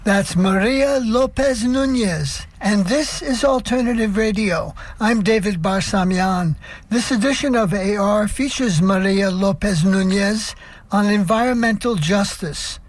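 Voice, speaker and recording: A man hosting a radio program, reading a scripted introduction in a measured, deliberate way. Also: high-pitched, dry recording, soft background music.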